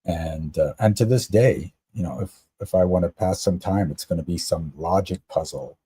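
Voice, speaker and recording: Low, husky man from Canada chatting. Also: moderate pace, mellow. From Canada, Toronto area. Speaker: podcast guest. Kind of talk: casual chat, personal storytelling.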